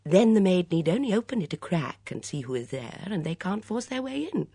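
A woman voicing a gentle, gossipy, prim old lady sleuth in an audiobook, with a hesitant, murmuring, quietly shrewd manner. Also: lowish voice, very animated, fairly quick, breathy edge, no room sound, studio quality, pitched above the performer's own voice, heavily acted. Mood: gossipy, fretful. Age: older.

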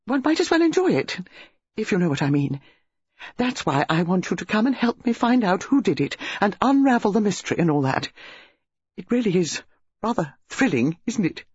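A reader voicing Mrs Bantry, a breathless, excitable English country lady who gushes about a body found in her library. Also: mid-range voice, slightly rough, no echo, muffled recording, voice pushed up. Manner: gushing, breathless.

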